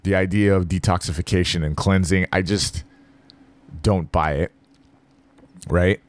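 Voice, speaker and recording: A man hosting a podcast, talking loosely and jokingly to the listener, explaining fasting in a casual monologue. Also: deep voice, steady tone. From Philippines.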